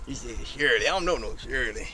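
A man's voice: medium pitch, expressive, a little fast, music in the background, close to an everyday voice. A man with a Memphis Southern accent playing a sleazy, flirtatious backyard mechanic in an improvised outdoor comedy skit, talking in a slick, rambling drawl. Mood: leering. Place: outdoors in a suburban driveway.

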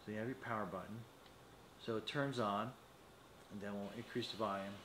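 A man's voice: fairly low pitch, fairly even intonation, brisk. A man in his fifties giving a casual, unscripted product demonstration, rambling a little and thinking aloud as he goes. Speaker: product reviewer. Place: small indoor metal-walled studio, seated at a desk. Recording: echoey room with faint noise.

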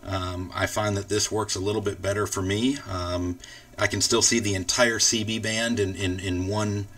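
A man hosting a casual software walkthrough, explaining things informally step by step. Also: fairly low pitch, level tone, normal speed, no echo, far from the mic. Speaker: tutorial host.

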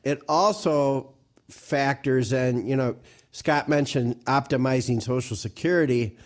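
A man in his mid-sixties explaining retirement rules in a steady, precise way. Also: mid-range voice, measured pace. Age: 60s. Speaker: financial advisor. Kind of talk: explanatory advice.

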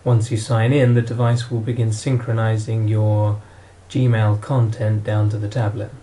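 A man's voice: on the low side, level tone, measured pace, dark tone. A man narrating an instructional product walkthrough in a plain, matter-of-fact way, as a demonstrator.

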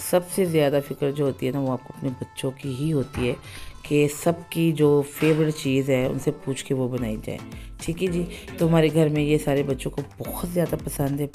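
A woman's voice: low-pitched, warm tone. A woman, hosting a home cooking channel, talking through a recipe in a chatty voice-over full of fillers. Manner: chatty, repeats "ठीक है जी", many fillers.

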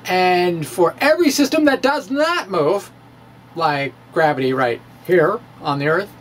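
A middle-aged man giving a dramatic reading of a science blog, halting and theatrical with wry asides. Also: high voice, a little hiss or hum. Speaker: amateur physics video blogger. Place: small indoor room with bookshelves. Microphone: handheld-style microphone on a stand, close in front.

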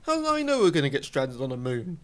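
A man's voice: a little high, huge pitch swings, quick pace. Man acting practical, sarcastic space dad. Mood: sarcastic, casual. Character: practical, sarcastic, pushy father stranded in space. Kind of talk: audio drama acting.

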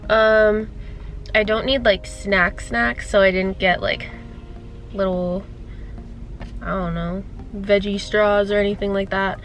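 A woman's voice: medium pitch, steady tone, slow, long pauses, soft background music. Young woman vlogger, rambling casual chat to camera at arm's length. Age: young adult. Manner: chatty, rambling, filler-heavy. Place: grocery store, car and bedroom. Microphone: handheld camera at arm's length.

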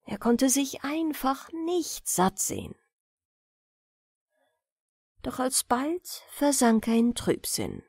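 A woman narrates fairy tales for bedtime at an unhurried pace. Her mid-pitched reading is expressive and moves widely up and down. The recording is dry and close. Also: slightly rough, dry recording. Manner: expressive, measured storytelling. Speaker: audiobook narrator.

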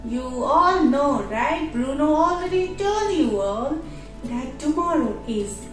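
A woman playing a caring, upbeat young mother in a children's puppet show, speaking in simple, deliberate phrases. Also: sits high, lively intonation. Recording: echoey room, distant mic, music behind.

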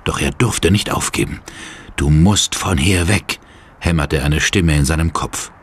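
A man's voice: extremely low pitch, unhurried, breathy edge, full character voice. A man narrating a horror audio drama in a dramatic, scripted style. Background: music.

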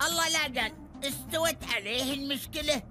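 An actor voicing a stubborn old neighbor in a cartoon, insistent, with an Emirati Arabic accent. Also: male voice, very high voice, brisk, pushed and bright, soft background music, full character voice.

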